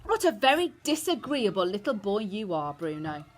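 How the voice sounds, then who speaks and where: A woman voicing an old grandmother in a storybook, patient but firm and affectionate, with measured, emphatic phrasing. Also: medium pitch, sing-song, a little hiss or hum, natural voice. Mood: scolding. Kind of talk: storybook character voice.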